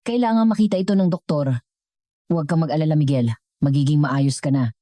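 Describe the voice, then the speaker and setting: Elderly female cook played by a woman narrator, Filipino accent, mellow, caring yet fretful, reassuring story character. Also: deep voice, brisk, dry recording, lightly acted. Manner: gentle, hesitant, reassuring.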